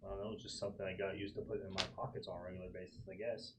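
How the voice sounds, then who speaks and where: A man's voice: sits low, little pitch movement, racing, noisy background. A man chatting casually as a player in a tabletop roleplaying game.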